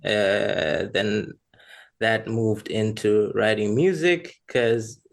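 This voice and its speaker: A man's voice: lowish voice, extremely slow, creaky. A man from Rwanda chatting casually as a podcast guest, candid and self-deprecating. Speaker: podcast guest, freelance creative. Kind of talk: casual personal chat.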